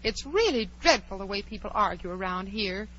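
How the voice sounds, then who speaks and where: A woman's voice: medium pitch, expressive, moderate pace, a bit bright, lightly acted. An actress playing a polite, earnest and fretful little girl with proper diction, in 1940s radio drama style. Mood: exasperated. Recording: muffled, noisy old radio recording.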